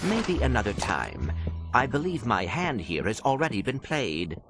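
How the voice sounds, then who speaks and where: An actor voicing a polite, earnest little robot secret agent in a video game, with formal, precise wording. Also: male voice, mid-range voice, wildly swooping pitch, a little slow, loud music behind the voice. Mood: folksy bluffing. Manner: formal, precise diction without contractions. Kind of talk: video game cutscene acting.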